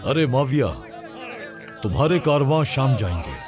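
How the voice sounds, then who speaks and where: A man's voice: medium pitch, sing-song, moderate pace, natural voice. An actor dubbing a shrewd city nobleman who firmly reasons with a crowd, warning them of the consequences. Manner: firm, reasoning, persuasive. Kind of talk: dubbed historical drama acting. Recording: crowd and music under dubbed voice.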